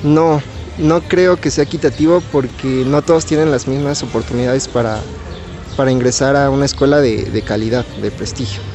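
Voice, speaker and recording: A man's voice: medium pitch, steady tone, moderate pace, a little mellow, faint music behind. A man in his twenties, a medical student, answering hesitantly and repeating words. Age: 20s.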